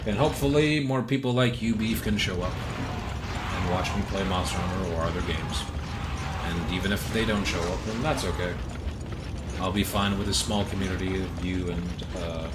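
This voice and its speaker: A male game streamer with a low, bassy voice. He rambles casually in live commentary, with game music clearly audible behind him. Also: moderate pace.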